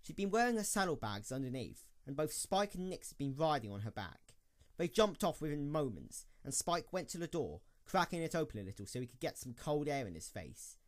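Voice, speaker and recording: A man's voice: a little high, very animated, brisk. A man narrating a scripted dramatic story as the narrator of an audio drama.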